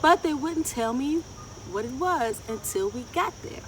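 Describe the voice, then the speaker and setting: High-voiced woman storyteller, outdoors off mic. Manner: expressive, asks listeners questions, deliberate phrasing. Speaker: children's storyteller. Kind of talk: reading a picture book aloud to children. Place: outdoors in a wooded garden. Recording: outdoor, distant mic, ambient insect noise. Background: insects and outdoor ambience.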